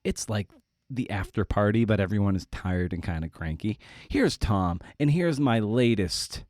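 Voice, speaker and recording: Low-voiced, wry podcast host man. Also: expressive, normal speed, a little mellow, no room sound, studio quality. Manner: wry, self-deprecating asides. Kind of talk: casual, jokey podcast intro monologue.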